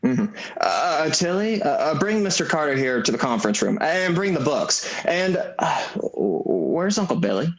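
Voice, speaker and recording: A man playing an earnest, excitable small-town businessman in a radio play, stammering and flustered as he talks. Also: on the high side, moderate pace. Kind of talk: radio play acting, old-time American drama. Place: remote home recording for virtual play.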